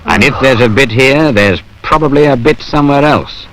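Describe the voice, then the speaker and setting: A man's voice: medium pitch, expressive, normal speed, music in the background. A British man giving wry, colloquial, conversational narration for a film. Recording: noisy 1970s industrial film soundtrack.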